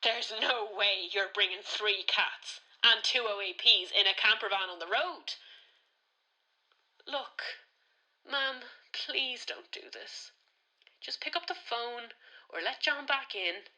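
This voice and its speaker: Nagging, worried Irish daughter woman actor, radio-play voicemail. High, piercingly bright, exasperated and insistent. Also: slow pace, exaggerated voice, low-quality recording. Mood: pleading. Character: worried, nagging grown daughter pleading with elderly mother. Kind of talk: radio comedy voicemail monologue.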